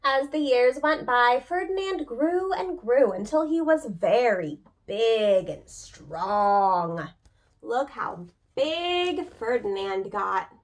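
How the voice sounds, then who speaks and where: A woman with a high voice reads a children's storybook aloud. Her intonation swings widely and expressively, and she turns to her young listeners with direct questions, in the way of a preschool teacher. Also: animated, slow pace. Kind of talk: animated picture-book read-aloud for young children.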